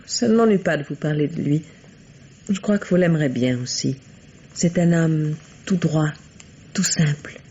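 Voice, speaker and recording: Female murder suspect, old radio drama: mellow, breathy tone, hesitant, guarded, quietly pleading. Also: low-pitched, normal speed. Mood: wistful, confiding. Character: evasive, wounded young woman suspected of murdering her husband. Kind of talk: 1950s French radio mystery acting. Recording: muffled vintage radio drama sound.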